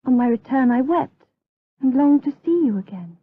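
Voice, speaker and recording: An actress playing an innocent, devout young maiden in a gothic radio drama, with an earnest, breathless, naive delivery. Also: female voice, high-pitched, lively intonation, normal speed, breathy edge, no room sound, narrow, tinny audio. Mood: earnest, tender. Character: innocent, devout, trusting young Spanish maiden, devoted daughter.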